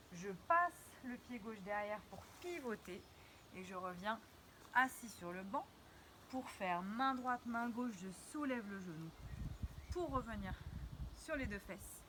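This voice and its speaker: A woman's voice: mid-range voice, bright, forceful tone, slight background noise. A woman teaching a dance routine step by step, counting beats and humming the rhythm as she moves. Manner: demonstrates while talking, counting beats and sung syllables.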